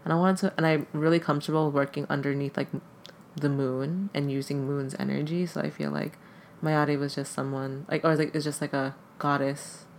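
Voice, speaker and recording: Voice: medium pitch, little pitch movement, fairly quick, creaky, a little hiss or hum. A podcast guest whose first language is Tagalog, talking casually in a hesitant way and filling nearly every phrase with "like.". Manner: hesitant, heavy use of "like" fillers. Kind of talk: casual conversation.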